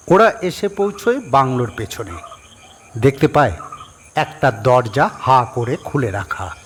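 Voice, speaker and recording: A man reads a horror story as its narrator in a medium-pitched voice. He goes slowly and measuredly, pausing between short phrases. The delivery is scripted and dramatic. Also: a little hiss or hum.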